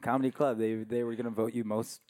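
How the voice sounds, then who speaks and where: A man co-hosting a podcast in casual, crude banter, soft-spoken and laid-back, sitting a little too far from his microphone. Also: mid-range voice, little pitch movement, fast. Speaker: podcast co-host. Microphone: podcast microphone held too far from mouth.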